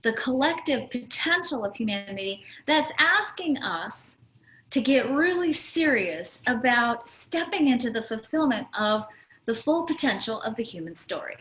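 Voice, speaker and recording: Middle-aged woman host, high voice, earnest teacherly delivery, roomy echo. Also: sing-song, normal speed, fairly bright tone. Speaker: show host. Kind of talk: spiritual astrology talk.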